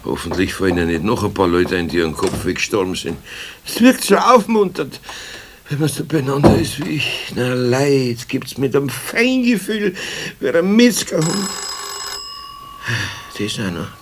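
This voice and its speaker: An actor playing an ailing, grumbling but good-natured older Bavarian craftsman in a children's radio play, sighing and halting. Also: mid-range voice, lively intonation, noisy background, lightly acted. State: ill, weary.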